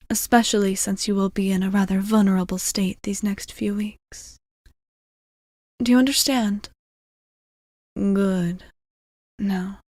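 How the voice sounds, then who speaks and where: A gentle female vampire voiced by a woman murmurs to a single listener in an intimate ASMR roleplay. Her delivery is very measured and soothing, with soft phrases that often fall into whispers. The sound is close and dry, with little trace of room. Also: mid-range voice, dry recording. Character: gentle, caring centuries-old female vampire bartender. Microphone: close microphone, very dry.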